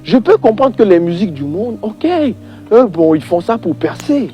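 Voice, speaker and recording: A man's voice: sits high, lively intonation, moderate pace, highs cut off, soft background music. A man giving an informal religious opinion monologue, exasperated and emphatic, repeating points and addressing the listener directly.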